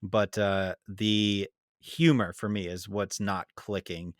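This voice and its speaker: A man's voice: lowish voice, little pitch movement, slow, no echo, clean studio sound. A man co-hosting a gaming podcast, giving casual, conversational opinions on games. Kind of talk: casual chat and informal game review.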